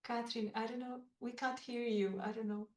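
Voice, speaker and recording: Woman podcast host on a video call, halting delivery, roomy echo. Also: fairly high pitch, little pitch movement, darkish tone. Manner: hesitant, many fillers.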